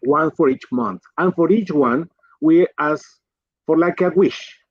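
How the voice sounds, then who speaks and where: A man's voice: on the high side, unhurried, no room sound. A middle-aged man from Colombia, a native Spanish speaker with a Colombian accent, giving conversational career advice in an earnest, emphatic way as a guest. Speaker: guest, physician and executive. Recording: dull, lo-fi livestream audio.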